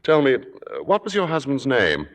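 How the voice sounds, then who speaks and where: An actor playing a suave, worldly theater critic who speaks in urbane, deliberate, formal phrases in an old-time radio drama. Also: male voice, mid-range voice, wildly swooping pitch, fairly bright tone. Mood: probing, silky. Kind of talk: 1950s radio drama acting and narration. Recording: old radio broadcast, reverberant, music behind.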